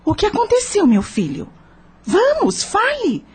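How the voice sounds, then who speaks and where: A woman playing a tender, anxious mother in a radio play, with a husky voice. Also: extremely high pitch, huge pitch swings, unhurried, darkish tone, full character voice. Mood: worried, scolding.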